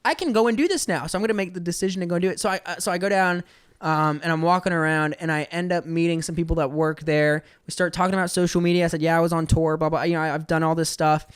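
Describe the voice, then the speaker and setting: A man's voice: a little high, fairly even intonation, quick pace, clear, resonant tone, no room sound, studio quality. A man in his twenties with an American accent, telling stories conversationally and earnestly as a podcast guest. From Georgia, USA. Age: 20s. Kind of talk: casual conversational storytelling.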